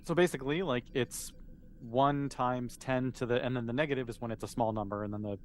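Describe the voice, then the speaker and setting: A man's voice: mid-range voice, a little fast. Casual male game master with background noise. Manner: conversational, explanatory, patient. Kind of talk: casual improvised tabletop game narration. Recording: clearly noisy background.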